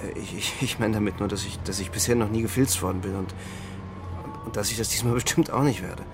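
A guarded male double agent, played by an actor in a crime radio drama, uneasy and understated. His voice is on the low side, with music clearly playing behind it. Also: steady tone, fast, lightly acted. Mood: nervous, defensive. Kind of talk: German crime radio drama, narration and dialogue.

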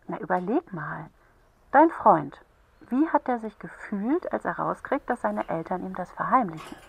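Patient female helpline counsellor, husky, creaky voice, gently explaining, encouraging, children's radio play. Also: mid-range voice, animated, moderate pace, low-quality recording. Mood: thoughtful, explanatory.

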